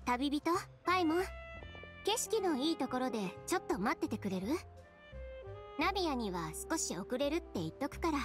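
A woman's voice: high-pitched, animated, moderate pace. A woman playing a self-assured, blunt young fashion designer in an anime video game, confident and dryly teasing. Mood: calm, composed. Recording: game music behind the voice.

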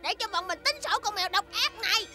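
A woman's voice: very high-pitched, lively intonation, fast. A woman playing a childlike, indignant gnome villager, protesting emphatically in a cartoon dub. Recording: music behind the voice.

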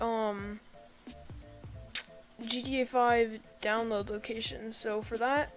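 Sick-sounding woman guiding a tutorial. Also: fairly high pitch. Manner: chatty, easygoing, talks straight to viewers. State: sick, voice a bit off. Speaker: YouTube tutorial creator. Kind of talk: casual screen-recorded tutorial voice-over.

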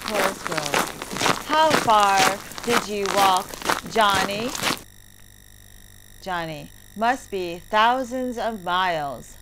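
A woman narrating a story lesson for English learners, reading deliberately word by word. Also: mid-range voice, animated. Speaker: teacher narrator. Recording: heavy background noise, clicks.

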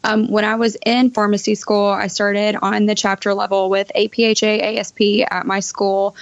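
Even-toned woman in her thirties, slightly dull audio. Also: medium pitch, moderate pace, no room sound. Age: 30s. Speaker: podcast guest, pharmacist. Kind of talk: conversational interview answers. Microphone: internet voice call.

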